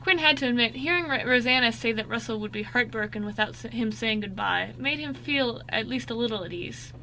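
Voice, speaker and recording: A woman's voice: a little high, a little fast, bright, forceful tone, slight background noise, rough recording. A woman narrating a scripted amateur radio drama, now and then stumbling over the script.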